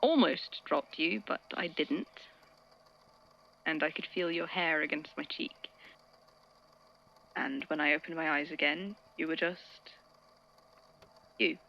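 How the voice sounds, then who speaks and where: A woman playing a shaken, devoted friend in an audio drama, speaking in a halting, hushed, earnest way. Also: sits low, gravelly, muffled recording, heavily acted. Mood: tender, shaken. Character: Grian, shaken devoted friend recounting a rescue. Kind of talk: intimate dramatic monologue in audio fiction.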